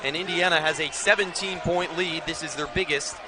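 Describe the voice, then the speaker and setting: American guy doing basketball play by play, higher voice, projecting hard, noisy crowd behind him. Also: steady tone, moderate pace. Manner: emphatic, projected broadcast delivery. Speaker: play-by-play announcer. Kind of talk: live basketball play-by-play commentary. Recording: loud arena crowd noise behind. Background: crowd and basketball bounce.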